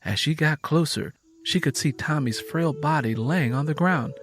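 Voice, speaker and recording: Higher-voiced man narrating a dramatic story. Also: moderate pace, a bit bright. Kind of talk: scripted dramatic audiobook narration.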